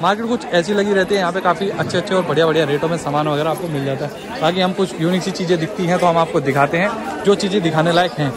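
A man's voice: fairly high pitch, steady tone, rapid-fire, few pauses. A man giving a casual vlog walk-through of an outdoor market, repeating prices to his viewers. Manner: repeats prices to the viewers, addressing them as brother. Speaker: vlogger. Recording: busy outdoor market crowd behind voice.

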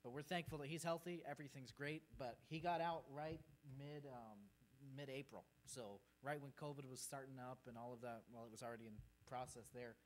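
A man's voice: mid-range voice, steady tone, fairly quick, few pauses, low-quality recording. A man in his thirties from Peru, speaking earnestly as a missionary guest to a congregation from the pulpit. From grew up in Peru. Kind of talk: informal presentation to a congregation. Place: church sanctuary, at the pulpit. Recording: faint hum and background noise.

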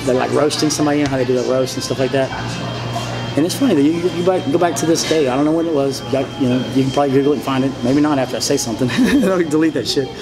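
A man's voice: mid-range voice, racing, over music, rough recording. A man in his forties telling his story as an interview guest, rambling, emphatic and repetitive, gesturing broadly as he talks. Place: indoor restaurant booth.

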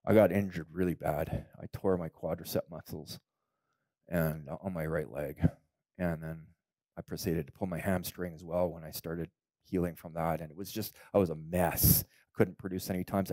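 Pastor with a low voice. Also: male voice, fairly even intonation, moderate pace, no echo. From Canada. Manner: storytelling, rising to loud emphatic peaks. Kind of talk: sermon with personal testimony. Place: church stage, indoors. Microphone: headset mic.

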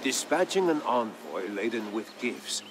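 A man playing a thoughtful veteran war chronicler, telling a story for a game in a measured, grave and theatrical way. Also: lowish voice, very animated, noisy background. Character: thoughtful veteran male war chronicler recounting past campaigns. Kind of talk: dramatic scripted storytelling narration for a game. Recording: music behind the voice. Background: background music.

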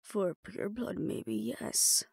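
A woman voicing a guarded, grudging, defiant old female vampire elder in an audio roleplay, speaking in a halting, solemn way. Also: mid-range voice, creaky, darkish tone, breathy edge, dry recording, clean studio sound, heavily acted. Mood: reluctant.

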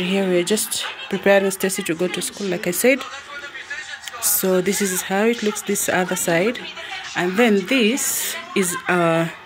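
A Kenyan woman gives a casual home tour, speaking slowly in a slightly low voice. She repeats phrases as she points things out, with music playing behind her. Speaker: vlogger. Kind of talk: casual house tour narration. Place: indoors, living room of a family home. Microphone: handheld phone or camera held by the speaker.

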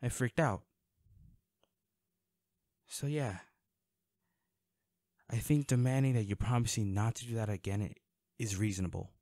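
An actor playing a protective, worried male bodyguard in an intimate, dramatic audio roleplay, with halting, emotional delivery. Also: fairly low pitch, warm tone, dry recording, studio quality. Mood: hurt, subdued.

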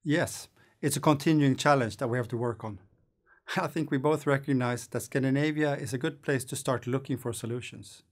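Measured male lecturer. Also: medium pitch. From Sweden. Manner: measured, thoughtful explaining with hand gestures. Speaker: course lecturer. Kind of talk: scripted lecture to camera. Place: studio against a green screen.

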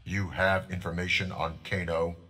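A man's voice: low-pitched, level tone, moderate pace, background noise, lightly acted. An actor playing a stern male cyborg police officer who talks in a formal, dutiful way, in video game dialogue. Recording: game audio with loud music behind.

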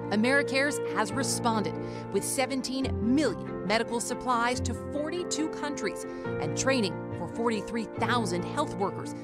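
Female narrator, higher voice, steady documentary read over a loud music bed. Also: moderate pace. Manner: steady, informative news-style read.

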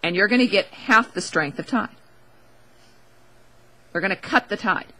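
A woman's voice: medium pitch, a little slow, pushed and bright. A woman hosting a talk show, giving a casual, opinionated monologue in a wry, emphatic, conversational way into a close studio microphone.

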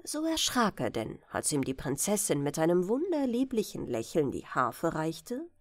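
A woman's voice: low-pitched, wildly swooping pitch, moderate pace, no room sound. A woman reading a scripted bedtime fairy tale as an audiobook, telling it carefully and expressively. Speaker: audiobook reader.

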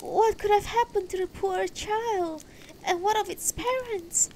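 A woman's voice: measured pace, darkish tone, slight background noise, rough recording. A woman voicing an anxious, tender elderly wife in a fantasy story, pleading and distressed. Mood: tearful, insistent. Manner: pleading, distressed, high-voiced.

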